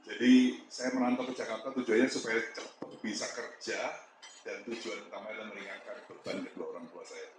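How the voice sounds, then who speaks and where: Javanese-accented man in an echoey room. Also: on the low side. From Malang, East Java. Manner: candid, chuckling storyteller. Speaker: business owner giving a talk. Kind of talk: motivational talk to an audience. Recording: echoey room with background noise.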